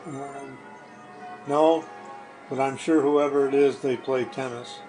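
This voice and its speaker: A man answering interview questions informally, in a hesitant, halting way. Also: mid-range voice, steady tone, over music, poor audio. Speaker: recurring interview guest.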